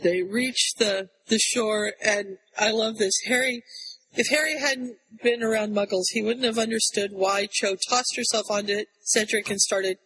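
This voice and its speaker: High-voiced, husky man retelling a story. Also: steady tone, unhurried, pushed and bright. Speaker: podcast co-host. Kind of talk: casual book discussion. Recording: long echoey reverb.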